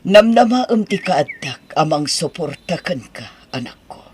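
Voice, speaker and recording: A supportive father with a fairly high voice and an Ilocano Filipino accent. He speaks tenderly in audio drama style, with faint noise behind. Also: sing-song, unhurried. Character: supportive, tender parent of a grown child.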